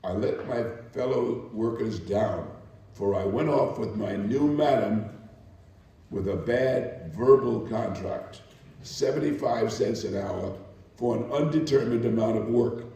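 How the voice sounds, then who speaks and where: A man performing a weary working woman's solemn testimony in a slow, deliberate dramatic reading. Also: fairly low pitch, dark tone. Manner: slow, deliberate, theatrical pauses. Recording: very echoey room, distant microphone.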